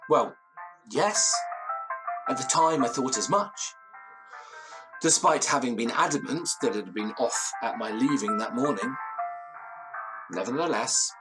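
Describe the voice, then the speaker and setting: An actor playing an anxious, genteel British gentleman who recounts a ghost story in formal, ornate, theatrical phrases. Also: medium pitch, expressive, measured pace, pauses often, music in the background, lightly acted, rough recording. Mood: wry, reflective. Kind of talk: audio drama storytelling, period ghost tale.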